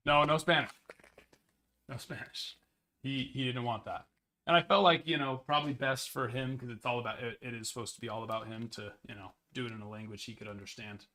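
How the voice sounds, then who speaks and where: Chatty man, bright slightly hoarse voice. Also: steady tone, a little fast. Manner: chatty, rambling, upbeat sales pitches. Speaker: sports card break host. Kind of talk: casual livestream chat with viewers.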